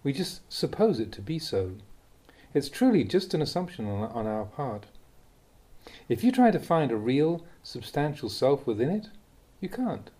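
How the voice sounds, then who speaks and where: A man, a monk, reading aloud a Buddhist teaching in a calm, deliberate way. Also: husky. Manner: calm, deliberate reading with lively pitch on key words. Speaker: monk reading Dhamma talks.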